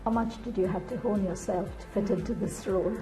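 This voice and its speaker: A woman's voice: mid-range voice, fairly quick, warm tone, soft background music. A woman asking questions as an interviewer.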